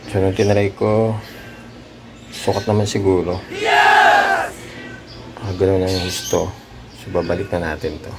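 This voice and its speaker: A Filipino man giving a casual hands-on tutorial, thinking aloud in a halting way as he works. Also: sits low, little pitch movement, over a noisy crowd, very noisy. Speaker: DIY repair vlogger.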